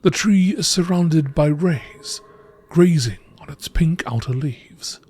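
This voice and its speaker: A man reading scripted text aloud in a measured nature documentary style, as an amateur narrator. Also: on the high side, a little mellow, slightly rough.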